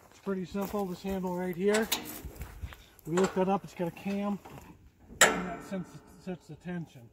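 A man's voice: sits high, flat delivery, normal speed. A middle-aged man giving a casual, plain-spoken hands-on demonstration as he works outdoors, a few steps from the camera. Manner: plain-spoken, explanatory while working. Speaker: vlogger demonstrating his sawmill. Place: outdoors in a wooded clearing. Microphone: camera a few steps away. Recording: outdoor camera audio, clear background noise.

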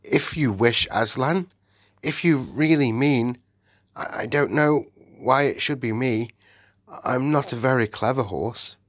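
A man's voice: medium pitch, a little slow, fairly bright tone, dry recording, clean studio sound, pitched above the performer's own voice. A man reading aloud and voicing a modest, good-natured old male cab horse who talks eagerly and a little flustered.

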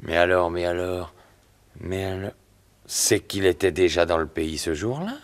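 An actor playing a hot-tempered accused man in a French radio drama, pleading, then bullying a witness. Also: measured pace. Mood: menacing. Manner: pleading then bullying, swings from low to shouting. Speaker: escaped prisoner Eric Anderson.

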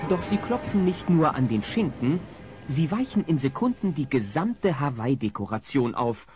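A man's voice: on the high side, sing-song, normal speed, over music. A man narrating a comedy audio drama in a scripted, wry storytelling manner.

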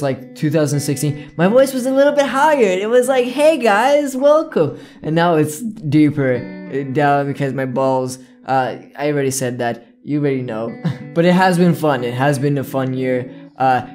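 A man's voice: mid-range voice, a little mellow. A young man chatting casually to his viewers in a rambling way, often repeating himself. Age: young adult. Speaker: video creator, gaming YouTuber. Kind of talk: casual chat to camera. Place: small indoor room with plain backdrop.

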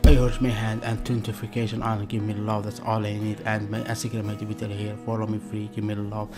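A man's voice: lowish voice, monotone, fairly quick, darkish tone, faint music behind. A man hosting a reaction video, chatting casually to viewers and urging them to subscribe. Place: small indoor room.

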